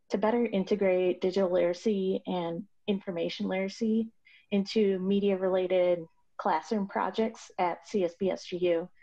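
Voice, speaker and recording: Woman presenting over a muffled video call. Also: fairly low pitch. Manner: explanatory, steady, with frequent ums and uhs. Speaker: award recipient presenting a project. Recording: slightly dull, lo-fi video call audio.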